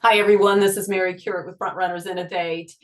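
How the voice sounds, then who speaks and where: A woman's voice: on the low side, level tone, fast. A woman hosting an interview, introducing her guest in a chatty way with fillers. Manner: chatty, with fillers and backchannel murmurs. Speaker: interview host.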